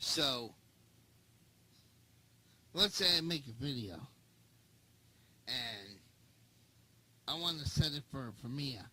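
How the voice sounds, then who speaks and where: A man's voice: medium pitch, animated, slow pace, long pauses, bright, forceful tone. A man who hosts a channel, ranting in a casual monologue, swearing a lot and repeating himself. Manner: profane, repetitive ranting. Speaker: channel host.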